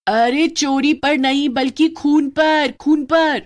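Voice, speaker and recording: A woman's voice: sits high, steady tone, slow pace, pitched above the performer's own voice. A woman voicing a loyal female witch who scolds her friend with urgency. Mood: urgent scolding. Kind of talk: cartoon voice acting.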